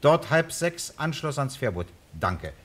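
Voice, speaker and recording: Casting: methodical police inspector, radio crime play, medium-pitched voice, courteous and matter-of-fact. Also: male voice, very animated, a little slow, heavy background noise. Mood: businesslike. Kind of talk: German radio crime drama acting.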